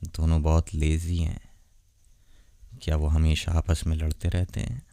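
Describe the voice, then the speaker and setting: A very low, mellow male voice from an actor gives us a centuries-old vampire boyfriend, lonely and tender. He speaks gently and intimately, as in a romantic ASMR roleplay. The sound is close and dry. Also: flat delivery, gravelly, dry recording, exaggerated voice. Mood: affectionate. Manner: soft, intimate, gentle, unhurried.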